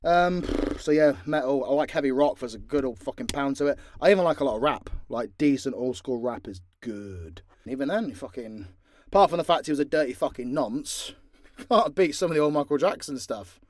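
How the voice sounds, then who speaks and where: A man's voice: mid-range voice, expressive, a little fast, a little mellow, dry recording, studio quality. A British man in a casual, unscripted Q&A as an audio creator, talking bluntly and swearing freely. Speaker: audio drama creator answering fan questions.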